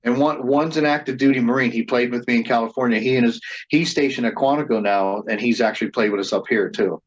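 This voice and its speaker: A man's voice: medium pitch, quick pace, husky, lo-fi sound. A man who leads a band, telling stories in a casual interview and often restarting and repeating words as he goes. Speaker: band leader and reenactor being interviewed.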